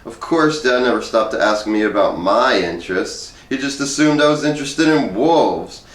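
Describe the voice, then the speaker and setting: A man's voice: mid-range voice, lively intonation, moderate pace, fairly bright tone. A man reading a humorous first-person essay aloud in a conversational, wry storytelling way. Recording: roomy echo, distant microphone.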